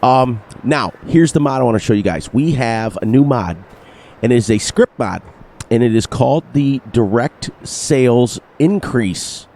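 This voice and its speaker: A man hosting a narrated farming game video, upbeat and excitable, with a slightly nasal voice from allergies. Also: medium pitch, a little slow. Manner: upbeat, sing-song repetitions, excitable. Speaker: gaming channel host. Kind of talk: narrated gameplay commentary.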